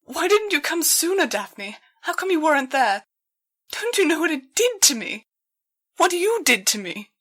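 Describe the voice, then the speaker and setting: A woman's voice: high voice, big pitch swings, unhurried, a bit bright, pitched above the performer's own voice, full character voice. A woman voicing a hurt female pony, insistent and strained as she confronts an old friend in a story.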